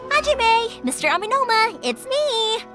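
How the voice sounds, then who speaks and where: A woman's voice: very high voice, very animated, unhurried, full character voice. A woman playing a cheerful, kindhearted young fireworks maker in an anime-style game, upbeat and breezy. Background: music.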